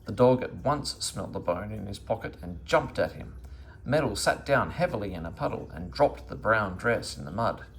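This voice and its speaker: A man reading a children's story aloud as an expressive narrator. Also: lowish voice, normal speed, a little hiss or hum, rough recording. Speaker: storybook reader.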